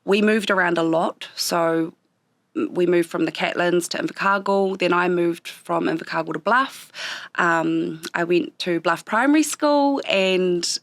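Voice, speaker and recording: A woman with a New Zealand accent and a slightly low voice, reflecting on her life as an interview guest. Her first language was Japanese. She speaks thoughtfully and stops often to search for words, and the studio recording is dry and close. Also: no room sound. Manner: thoughtful, pausing often with ums.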